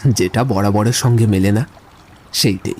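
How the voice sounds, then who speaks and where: A man's voice: lowish voice, moderate pace, darkish tone, slight background noise, natural voice. A man playing an eloquent young man in love in a literary audio drama, speaking in lyrical, wordy speeches. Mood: earnest pleading.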